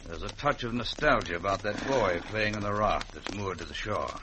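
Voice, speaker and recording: An actor playing a suave, cold-blooded English gentleman gunslinger in an old-time radio western, with pompous, mock-genteel formal speech. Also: fairly low pitch, moderate pace, natural voice. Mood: smug, mock-genteel. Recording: noisy vintage radio recording.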